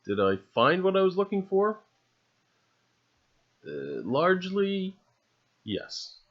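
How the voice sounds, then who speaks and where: A man narrating an unscripted, rambling voice-over in a halting, musing way, with dry, understated humor. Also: high voice, wildly swooping pitch. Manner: halting, musing delivery with long trailing phrases. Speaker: video narrator. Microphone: separately recorded voice-over. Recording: dull, lo-fi voice recording.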